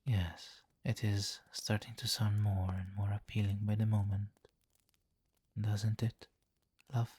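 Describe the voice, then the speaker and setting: A man voicing a seductive, domineering vampire king in an ASMR roleplay, softly commanding and condescending, coaxing in a hypnotic murmur that often nears a whisper. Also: fairly low pitch, little pitch movement, takes it slowly, breathy edge.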